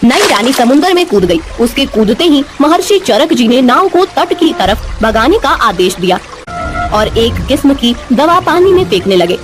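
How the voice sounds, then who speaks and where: High, animated woman storyteller. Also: moderate pace, music in the background. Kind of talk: scripted bedtime story narration.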